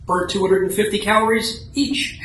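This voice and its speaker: A man's voice: high-pitched, steady tone, normal speed, a bit bright. A man playing an earnest, defensive, health-obsessed husband in a comic radio play, stammering and repeating himself as he explains. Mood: eager, pleased. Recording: roomy, echoey live recording, clear background noise.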